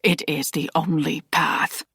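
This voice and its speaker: A woman voicing an ancient male mentor who speaks in a hoarse, insistent whisper. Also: low voice, flat delivery, measured pace, sharp tone, no echo, clean studio sound, exaggerated voice. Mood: hoarse intensity. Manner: insistent, commanding. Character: ancient male mentor, hoarse, stern, nearly two centuries old.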